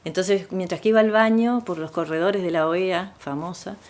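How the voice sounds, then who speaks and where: A woman's voice: lowish voice, moderate pace. A Uruguayan woman in her sixties with a Uruguayan Spanish accent, telling her life story in an interview, with frequent "este" fillers. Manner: frequent "este" fillers, easygoing rural warmth. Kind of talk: relaxed personal storytelling.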